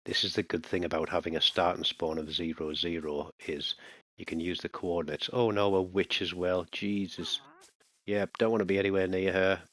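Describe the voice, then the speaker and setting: Male gamer, very deep voice, close and dry, loose muttering commentary with sudden alarm. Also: flat delivery, normal speed, a bit bright, dry recording. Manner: chatty, muttering to himself, bursts of alarm at mobs. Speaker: gaming channel host. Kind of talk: casual let's-play commentary.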